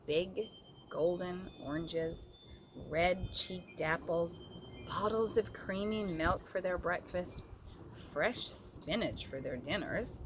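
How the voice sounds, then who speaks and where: A woman reading a children's story aloud as an expressive storyteller. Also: fairly low pitch, takes it slowly, noisy background.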